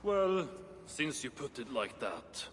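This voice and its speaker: A man's voice: medium pitch, very animated, slow pace. An actor playing a sceptical, dry-humoured dwarf craftsman with a terse, dismissive way of talking in a video game. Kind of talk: video game cutscene acting. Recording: long reverb, faint background noise.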